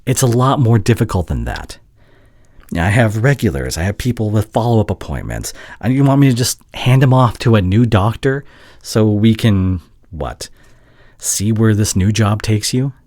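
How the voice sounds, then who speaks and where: A man acting a conflicted doctor and caring ex-boyfriend in an intimate roleplay drama, halting and earnest, with a husky, breathy voice. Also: on the low side, sing-song, darkish tone, no echo, clean studio sound. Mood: frustrated, defensive. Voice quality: husky, breathy, often dropping to a whisper.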